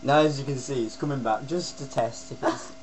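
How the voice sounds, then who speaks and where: A man's voice: medium pitch, fairly quick. A young man with a British accent giving casual running commentary as a prankster. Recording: noisy amateur recording with mains hum.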